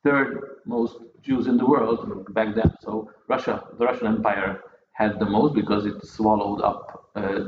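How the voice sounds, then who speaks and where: A man talking casually at a table, halting and restarting his sentences often. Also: lowish voice, fairly even intonation. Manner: halting, many restarts and repeated words. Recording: echoey, dull room sound with faint noise.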